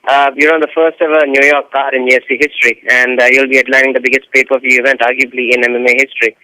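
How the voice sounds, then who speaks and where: A man's voice: mid-range voice, little pitch movement, fast, sharp tone, dry recording, rough recording. A man with an Indian accent, a sports reporter politely putting formal questions over a conference call.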